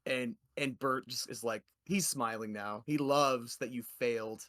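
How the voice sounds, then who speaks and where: A man's voice: medium pitch, unhurried, a bit bright. A man narrating a tabletop game as game master in a casual, hesitant, conversational way.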